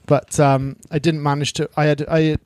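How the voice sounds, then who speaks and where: Middle-aged man, halting chat. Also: on the high side, level tone, darkish tone, no room sound. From Wales. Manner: hesitant, many restarts and "you know"s. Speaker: guest, surfer. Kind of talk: casual interview chat.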